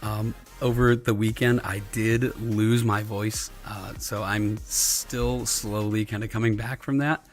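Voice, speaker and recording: A man hosting a casual livestream, his voice slightly scratchy and subdued as he recovers from losing it, hesitant and often clearing his throat. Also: lowish voice, fairly even intonation, slow pace, few pauses. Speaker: streamer, host. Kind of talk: casual livestream chat with viewers and fellow players. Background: music.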